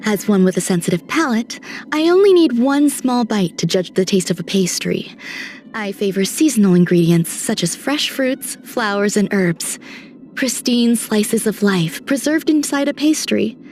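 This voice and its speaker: A woman performs a refined female scientist for a video game. Her mid-pitched delivery is composed and polite, gentle yet detached, as if quietly observing. Music sits behind the voice. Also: lively intonation, moderate pace, close to an everyday voice. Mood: serene, musing. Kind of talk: scripted video game character monologue. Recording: voice over background music.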